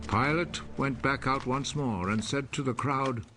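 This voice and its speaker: A man's voice: mid-range voice, a little slow, music in the background, lightly acted. A man giving scripted scripture narration for a film, in a solemn storytelling manner. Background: loud film score.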